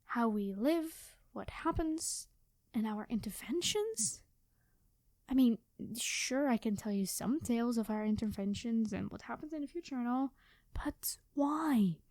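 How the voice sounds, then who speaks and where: A young female time traveler, acted by a woman, speaks in a high, soft-edged mellow voice. She is cheeky and secretive, chatting casually and conspiratorially as in an ASMR story roleplay. The sound is very dry. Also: animated, a little slow, dry recording. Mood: playful, teasing.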